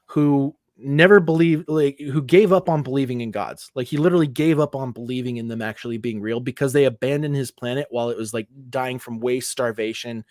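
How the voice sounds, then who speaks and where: Male podcast co-host, mid pitch, profane and heated, dry close mic. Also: brisk, few pauses, darkish tone, dry recording. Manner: profane, ranting, lots of fillers. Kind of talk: casual chat.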